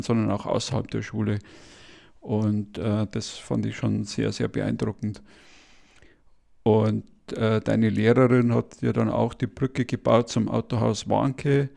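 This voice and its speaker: A man's voice: mid-range voice, gravelly, mellow. A man hosting a podcast interview, asking questions hesitantly with many fillers. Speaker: podcast host.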